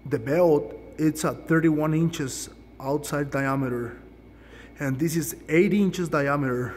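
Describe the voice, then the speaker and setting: Guy filming his garage on his phone, slightly high voice, rambly, ums everywhere, joking about his own work, big echo. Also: slow, darkish tone, slight background noise. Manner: rambling, self-deprecating, lots of ums and uhs. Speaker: DIY fabricator showing a tool mod. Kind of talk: casual how-to demonstration. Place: home garage workshop, indoors. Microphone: handheld phone camera.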